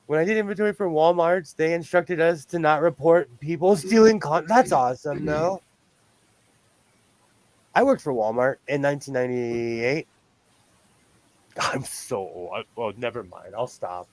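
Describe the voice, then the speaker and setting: A man in his forties hosting a sewing livestream, chatting casually in a high but loud voice, rambling, laughing often and restarting his sentences. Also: normal speed. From lives on the West Coast of the US. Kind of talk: casual chat while sewing.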